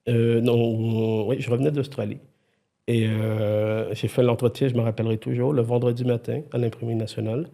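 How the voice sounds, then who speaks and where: A man's voice: mid-range voice, normal speed, creaky. A middle-aged man from Quebec with a Québécois accent, telling stories as a guest in a casual conversation. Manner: lively, animated storyteller, frequent restarts. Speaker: podcast guest, IT executive.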